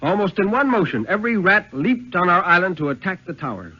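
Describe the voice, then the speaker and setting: A dull vintage radio recording carries a man's slightly high, husky voice. He reads a suspense story in the first person with theatrical weight and deliberate phrasing. His pitch moves freely as the tension shifts. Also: very animated, sharp tone. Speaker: storyteller reading a thriller.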